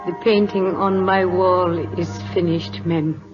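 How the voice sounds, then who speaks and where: A dying Siamese king in an old radio drama. His high voice moves very slowly and haltingly, solemn and tender, with formal phrasing. The dull vintage recording has music loud behind him. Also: level tone. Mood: solemn, fading. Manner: halting, deliberate, formal delivery. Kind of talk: 1940s radio drama acting.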